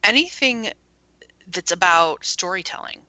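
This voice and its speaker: A woman's voice: lowish voice, lively intonation, measured pace, pushed and bright, dry recording, low-quality recording. A woman who runs an internet radio station, chatting as a guest and explaining at length. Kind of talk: casual chat.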